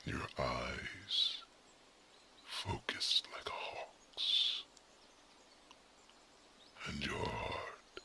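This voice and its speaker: Ancient male guardian, not human, a man performing: deep gravelly ASMR whisper, slow and solemn. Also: heavily acted. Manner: soft whispering, grave and measured counsel. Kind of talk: ASMR roleplay.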